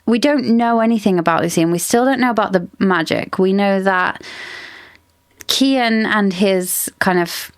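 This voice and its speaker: A woman's voice: medium pitch, measured pace, smooth, no room sound, studio quality. Rambling woman musing about a book. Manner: thinks aloud, rambling, lots of "like" and hedging. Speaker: book podcast host. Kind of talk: casual book discussion.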